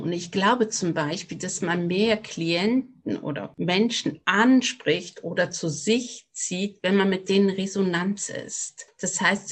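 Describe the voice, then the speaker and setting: A middle-aged woman with a slight Rhineland accent talks as a guest on a podcast. She is a voice coach, and she explains her craft in a reflective, earnest way. Her voice sits at an ordinary middle pitch. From near Cologne, Germany. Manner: reflective, earnest, speaks of voice work with conviction. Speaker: voice coach and opera singer, guest. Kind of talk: podcast interview.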